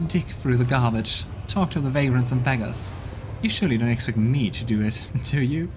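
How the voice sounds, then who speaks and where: A man's voice: medium pitch, normal speed, natural voice. A man voicing an arrogant, scornful female demon queen with a contemptuous, scoffing manner, over game music. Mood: haughty.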